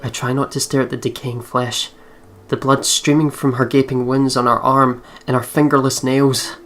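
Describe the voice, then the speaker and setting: A man's voice: mid-range voice, level tone, normal speed, music in the background. A man reading a visual novel aloud as a let's player, with casual asides.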